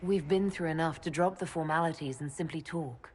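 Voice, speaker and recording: An actress playing a composed, dry-witted mage leader in a video game, speaking in an understated way. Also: female voice, sits low, brisk, darkish tone, natural voice. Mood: friendly, relaxed. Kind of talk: video game cutscene acting. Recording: hall reverb, faint noise.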